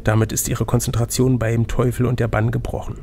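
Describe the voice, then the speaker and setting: A man's voice: mid-range voice, little pitch movement, brisk, mellow, a little hiss or hum. A man narrating an adventure gamebook as game master, switching between scripted reading and casual chat.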